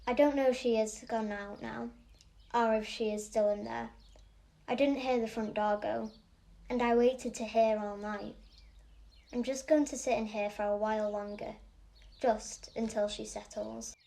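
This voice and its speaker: A watchful, literal-minded eight-year-old girl from in a radio-style monologue. The voice is soft-toned and a little high, with an earnest, matter-of-fact delivery. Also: fairly even intonation, measured pace, vocal fry. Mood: matter-of-fact, quiet. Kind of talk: audio play monologue.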